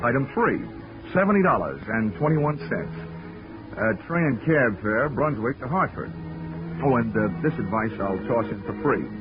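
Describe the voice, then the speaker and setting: Actor as wry hard-boiled detective, thin tinny old radio sound with music. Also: male voice, mid-range voice, close to an everyday voice. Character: wisecracking male insurance investigator. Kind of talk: 1940s radio drama narration.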